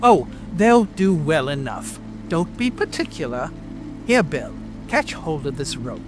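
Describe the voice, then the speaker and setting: A man's voice: a little high, sing-song, measured pace. A man voicing an irritable, bossy rabbit master in a dramatic audiobook, snapping out angry orders. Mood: brisk, commanding. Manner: angry, imperious, exclamatory. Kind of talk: dramatic audiobook character acting. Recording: music and rain ambience behind. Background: music, rain.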